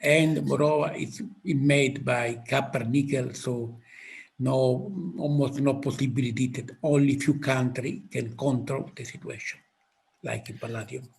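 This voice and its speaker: Slow gravelly Italian-accented man. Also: mid-range voice, steady tone. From Italy. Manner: slow, halting, thinking aloud. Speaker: physicist guest. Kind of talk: interview answers.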